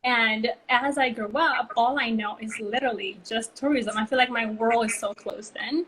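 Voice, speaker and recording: A woman's voice: on the high side, steady tone, normal speed, a bit bright, a little hiss or hum, poor audio. A woman, chatting as an interview guest and telling stories with many "like" and "um" fillers over a video call. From Bali, Indonesia. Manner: chatty storytelling, frequent "like" and "um". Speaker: guest, brand consultancy co-founder. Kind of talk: casual interview chat.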